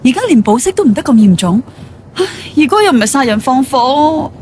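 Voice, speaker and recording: An actress playing a pampered, anxious young daughter in a radio drama, emotive. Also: female voice, sits high, sing-song, brisk, a little mellow, a little hiss or hum. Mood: worried, pleading. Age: young adult. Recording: studio radio drama with music.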